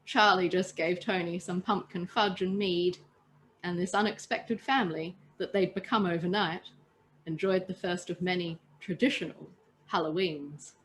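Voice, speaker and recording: A woman's voice: on the low side, steady tone, moderate pace, a little hiss or hum, poor audio. A woman telling a written story as a podcast host, narrating with the odd stumble and self-correction. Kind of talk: reading a written story aloud.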